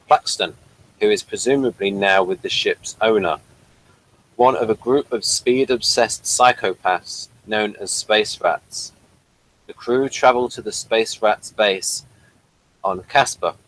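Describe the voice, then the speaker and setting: A man's voice: lowish voice, a bit bright, low-quality recording. Man with a slight speech impediment. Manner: hesitant, with fillers and self-corrections. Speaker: podcast host reading the synopsis. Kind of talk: casual review chat.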